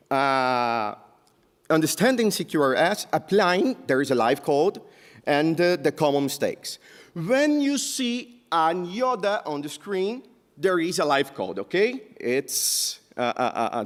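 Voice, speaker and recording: A man in his thirties from Brazil with a Brazilian Portuguese accent gives a technical conference talk on stage, halting often on filler sounds. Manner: halting, many filler sounds, lively pitch jumps. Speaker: conference speaker. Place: large conference hall stage. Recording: echoey conference hall.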